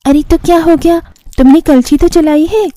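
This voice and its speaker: A woman's voice: very high voice, fairly even intonation, normal speed, dark tone, no echo, clean studio sound. A woman playing a friendly, helpful young sister-in-law in a cartoon family story, welcoming and reassuring.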